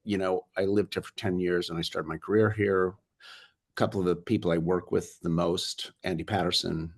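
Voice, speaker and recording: An older American man with a gravelly, fairly low voice, chatting casually as a guest. He wanders through his thoughts and restarts his sentences. He is heard over a video call. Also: steady tone, moderate pace. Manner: rambling, restarts sentences often. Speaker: film director guest. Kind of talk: casual interview chat.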